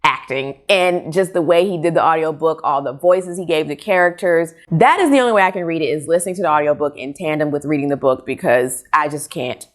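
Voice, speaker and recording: A woman's voice: low voice, fairly quick, hardly any pauses. An American woman in her thirties chatting casually and expressively to camera as a book vlogger, recorded in a room at home. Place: small furnished room at home.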